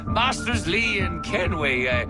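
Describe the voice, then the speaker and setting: Game role: timid, obliging innkeeper, dithering slowly with wavering, animated pitch. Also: male voice, loud music behind the voice. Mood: flustered. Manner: hesitant, stammering, obliging. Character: flustered, meek male colonial innkeeper. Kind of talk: video game character acting.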